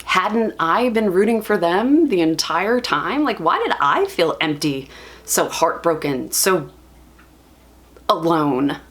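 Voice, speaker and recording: A woman's voice: fairly low pitch, big pitch swings. A woman performing a dramatic monologue as a lovelorn young bookworm, confessional and self-deprecating, speaking with earnest emphasis. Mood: wistful, confused. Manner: earnest, emphatic, halting with feeling.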